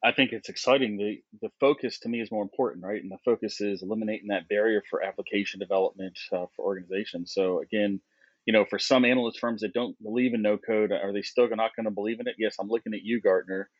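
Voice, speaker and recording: Low-ish voiced guy on a muffled remote mic, keen and opinionated, lots of "you know". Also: no echo. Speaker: podcast co-host. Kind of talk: casual tech podcast chat.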